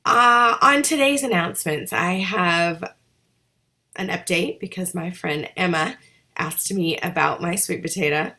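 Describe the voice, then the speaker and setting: A middle-aged woman, hoarse from allergies, giving chatty, upbeat morning school announcements. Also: lowish voice, a little slow, pushed and bright.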